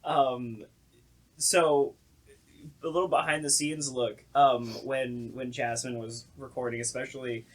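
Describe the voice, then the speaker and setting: A woman's voice: a bass voice, fairly even intonation, measured pace, poor audio. A woman hosting a casual podcast chat, speaking hesitantly with wry, joking asides. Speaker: podcast host.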